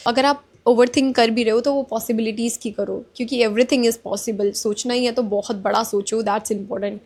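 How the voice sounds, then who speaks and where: A young woman with an Indian accent, vlogging in a calm, polite, soft-spoken way and mixing Hindi with English. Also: on the high side, racing. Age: young adult. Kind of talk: casual reflective vlog talk.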